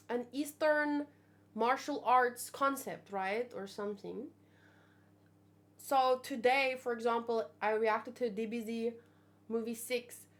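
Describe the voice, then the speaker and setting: A woman hosting a manga read-along, talking in a casual, expressive, thinking-aloud way. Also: high-pitched. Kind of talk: casual reaction commentary with read-aloud dialogue.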